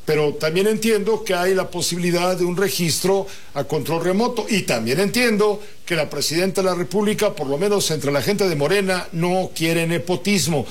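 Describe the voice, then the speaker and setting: A man's voice: high-pitched, normal speed. A man interviewing a politician, asking probing questions and restating points before each one.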